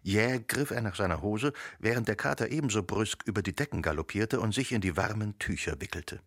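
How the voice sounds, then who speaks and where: A man with a gravelly voice narrating a literary radio drama from a script. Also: lowish voice, brisk, a bit bright, dry recording, clean studio sound.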